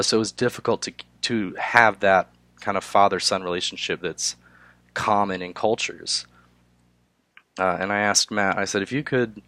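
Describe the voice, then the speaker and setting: A young man, hoarse from a cold, giving a class presentation with frequent "uh" fillers. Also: low-pitched, pushed and bright, dry recording. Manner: halting, many filler "uh"s. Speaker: student presenter.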